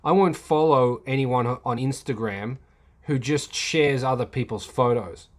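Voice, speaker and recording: A man's voice: mid-range voice, a little slow. Rambling man chatting with a livestream. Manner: rambling, many fillers and restarts; reads and replies to chat comments. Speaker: livestream host answering chat. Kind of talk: casual live chat.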